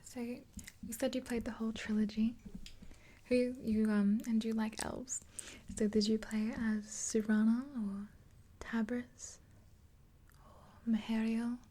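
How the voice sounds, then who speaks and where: Young woman, ASMR roleplay, unhurried, soft dark tone, breathy whisper, even pitch, close mic. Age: 20s. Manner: gentle, unhurried. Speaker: ASMR creator playing a makeup artist. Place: small indoor room. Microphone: close mic, face near camera.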